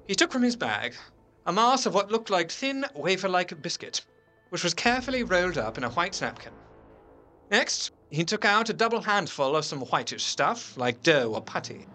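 A man playing an earnest, haunted Victorian gentleman, narrating a horror drama in a measured, literary and expressive way. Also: high-pitched, full character voice. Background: music.

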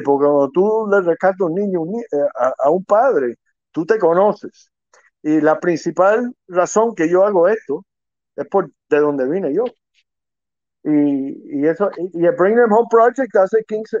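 A man's voice: high voice, darkish tone, no room sound. A middle-aged man from Quebec raised in Miami, a child rescue investigator talking casually as an interview guest, rambling and mixing in English words. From Quebec, Canada, raised in Miami. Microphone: phone or call line. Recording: dull lo-fi sound.

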